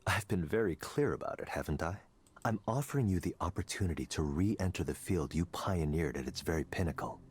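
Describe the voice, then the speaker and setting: A man playing a confident, coldly intellectual tech executive in a visual novel, lecturing in a deliberate, persuasive way. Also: sits low, animated, a little mellow, slightly rough. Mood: persuasive, assured.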